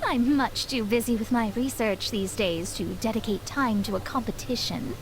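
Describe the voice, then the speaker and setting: A woman's voice: a little high, moderate pace, lightly acted, rough recording. A woman playing a proud, prim young astrologist in an anime-style video game, formal and a little self-important. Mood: defensive, indignant. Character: proud, slightly flustered young female astrologist, dignified.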